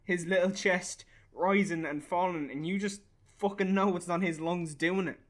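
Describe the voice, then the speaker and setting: A man plays a young Irish father consumed by grief and bitterness. His voice sits fairly high for a man. He speaks haltingly and swears, with an accusing edge, in a naturalistic radio drama. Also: fairly even intonation, lightly acted. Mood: raw, incensed. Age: young adult. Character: grieving, bitter, furious bereaved young father. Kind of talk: naturalistic radio play acting.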